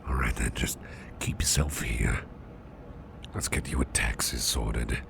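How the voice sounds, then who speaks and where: A man playing a protective, gentle boss in a soft ASMR roleplay, fussing reassuringly in a half-whisper with hesitant, repeated phrases. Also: steady tone, a little slow, heavily acted. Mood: gentle concern. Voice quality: very deep gravelly half-whisper. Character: protective, gentle, fussing male boss comforting exhausted employee. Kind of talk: ASMR roleplay, close personal attention. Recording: close recording with loud background noise.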